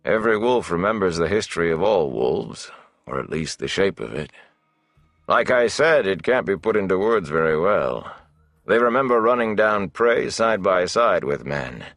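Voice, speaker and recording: A man voicing a gruff, wry wilderness man with a plain-spoken, deliberate, folksy way of talking in an audiobook. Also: deep voice, very animated, a bit bright, no room sound, clean studio sound, exaggerated voice. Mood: reflective, wry. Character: gruff, wry older wilderness man who runs with wolves.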